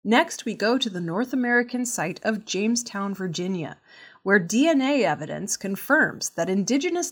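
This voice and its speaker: A woman presents the news from a script. Her voice sits at an average pitch for a woman. She reads in a measured way, with each phrase clearly enunciated. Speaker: news reader.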